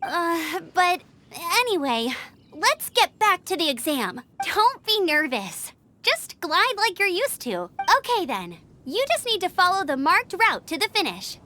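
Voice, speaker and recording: Eager, very high-voiced young knight girl. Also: a little slow, slight background noise, full character voice. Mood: embarrassed. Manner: earnest, encouraging, energetic. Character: eager young female knight and gliding instructor. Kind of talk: video game voice acting.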